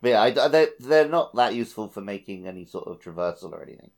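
A man co-hosts a gaming podcast with very lively intonation and a creaky edge to his voice. His delivery is informal and jokey, with music playing behind him. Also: on the low side, fast talker. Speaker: podcast co-host. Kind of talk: casual gaming podcast chat.

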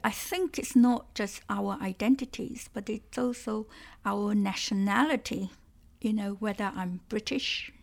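A woman whose first language is Cantonese, speaking in an unhurried, reflective interview manner. She pauses and repeats small words while she gathers her thoughts. Her voice carries a gravelly, creaky edge. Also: mid-range voice. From Malaysia. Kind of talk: conversational interview.

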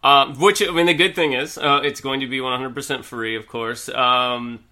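Male podcast guest, bright tone, rambling casual chatter, slight lingering cough. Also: medium pitch, steady tone, normal speed. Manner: rambling, lots of fillers and restarts. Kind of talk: casual chat.

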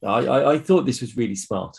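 A British man speaks at a middle pitch as the host of a podcast. His delivery is casual and conversational, in the manner of an interviewer chatting with a guest. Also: animated, a little fast, creaky, darkish tone, husky.

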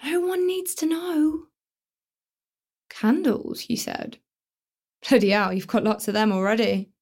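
A woman's voice: a little high, fairly even intonation, measured pace, darkish tone. A woman with a British accent playing a brash, easily distracted teenage girl in a naturalistic radio play, blunt and colloquial. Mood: breezy.

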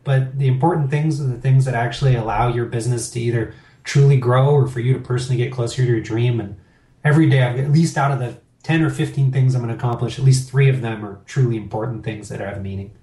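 A man's voice: mid-range voice, steady tone, fast talker, warm tone. A middle-aged man talking candidly as an interview guest, reflective and rambling with fillers. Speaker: interview guest, business owner. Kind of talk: casual interview talk. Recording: roomy echo.

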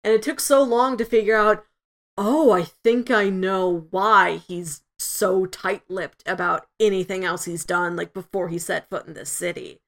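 A woman's voice: medium pitch, fairly even intonation, a little slow, fairly bright tone. A woman co-hosting a casual review discussion, often acting out imagined quotes as she explains her thoughts. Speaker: podcast co-host reviewing an audio drama.

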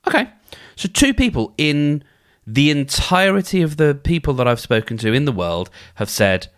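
A male podcaster talking through advice in a loose, conversational monologue. His pitch moves freely up and down, and he often restarts phrases as he thinks aloud. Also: animated, normal speed, clear, resonant tone. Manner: conversational, thinks aloud, acts out inner dialogues; frequent restarts and filler phrases. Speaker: podcast host.

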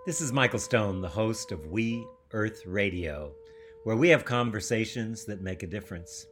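A man hosting a radio interview show, reading a formal introduction and then asking questions in a measured announcer manner. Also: fairly low pitch, expressive, music in the background.

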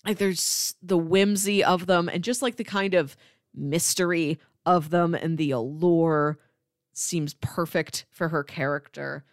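A woman's voice: lowish voice, fairly even intonation, a little slow, no room sound, clean studio sound. A woman, a young adult, co-hosting a casual film discussion in an enthusiastic, explanatory way. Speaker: film podcast co-host.

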